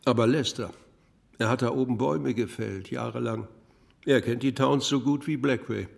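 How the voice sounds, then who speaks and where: A wry club regular in an audio drama. His mid-pitched voice creaks as he chips in with laid-back, gossipy remarks. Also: moderate pace. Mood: wry, musing. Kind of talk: naturalistic German audio drama acting.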